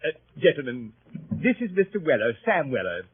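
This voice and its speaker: An actor playing a fussy, earnest gentleman with a formal, hesitant manner, in old radio drama style. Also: a little high, sing-song, brisk, breathy edge, heavily acted. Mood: businesslike. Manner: formal, hesitant, stammering indignation. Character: fussy, earnest, easily flustered English gentleman club leader. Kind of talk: 1930s radio acting. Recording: muffled, scratchy 1930s radio broadcast.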